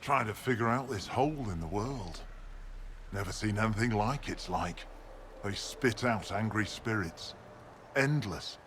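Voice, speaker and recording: Low-voiced, gruff barbarian healer. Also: male voice, lively intonation, unhurried, fairly bright tone, a little hiss or hum. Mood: gruff, solemn. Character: stoic barbarian mountain healer, gruff, devout, proud, scornful. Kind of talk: video game dialogue.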